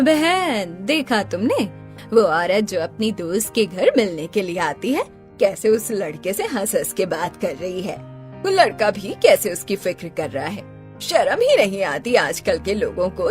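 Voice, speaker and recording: A woman's voice: mid-range voice, sing-song, unhurried, faint music behind. A woman voicing disapproving, gossipy neighbour women in a cartoon story. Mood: scornful gossip.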